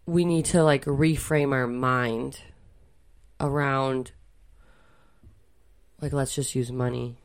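A woman co-hosting a podcast in casual chat, with a froggy, hoarse voice, chiming in and finishing her partner's sentences. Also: very deep voice, fairly even intonation, takes it slowly, pauses often. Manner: chimes in and finishes his sentences. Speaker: podcast co-host.

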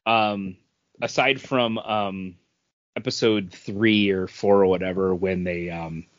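A man co-hosting a podcast, chatting casually about pop culture as he explains his opinions with halting self-corrections. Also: low-pitched, fairly even intonation, no room sound, muffled recording. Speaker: podcast co-host.

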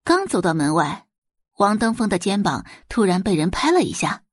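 A woman's voice: medium pitch, big pitch swings, normal speed, slightly rough, dry recording, studio quality. A woman narrating a scripted audio drama in a dramatic storytelling style.

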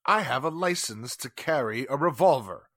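A man's voice: mid-range voice, lively intonation, moderate pace, fairly bright tone, dry recording, studio quality. An actor playing a haughty, sarcastic, indignant businessman in 1940s radio drama style. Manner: pompous, formal, sarcastic. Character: haughty, indignant wealthy businessman under police questioning.

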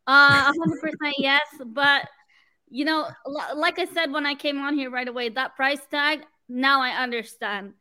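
A woman's voice: sits high, steady tone, moderate pace, sharp tone, poor audio. A woman from Canada, a guest on a football fan show, chatting casually about a match with many fillers and restarts.

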